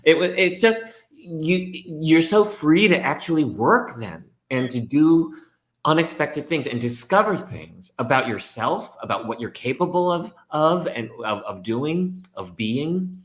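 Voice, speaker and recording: A man, a stage actor and guest, talking through his work in a casual, animated way. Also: fairly high pitch, unhurried. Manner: animated storytelling, reenacts his own thoughts. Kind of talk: casual conversation. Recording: echoey room.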